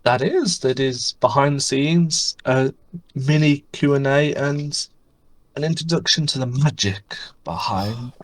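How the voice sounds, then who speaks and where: A man's voice: medium pitch, a little slow. A man co-hosting a podcast in casual, self-deprecating chat, with a soothing voice. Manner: self-deprecating, excitable storytelling. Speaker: podcast co-host.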